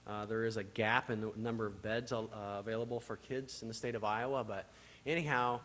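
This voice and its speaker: A man's voice: lowish voice, fairly even intonation, brisk, fairly bright tone, slight background noise. Give me a hesitant man addressing a congregation in a reverberant church. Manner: hesitant, many filler uhs. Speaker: church relations speaker for a social services agency. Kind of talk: speaking to a congregation. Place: church sanctuary. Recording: distant mic in a reverberant church.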